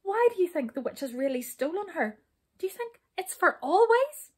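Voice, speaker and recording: A woman's voice: very animated, moderate pace, darkish tone. A woman voicing a small, naughty male brownie in a children's story, timid, plaintive and tearful. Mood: wailing dismay. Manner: plaintive, wailing, high-pitched pleading.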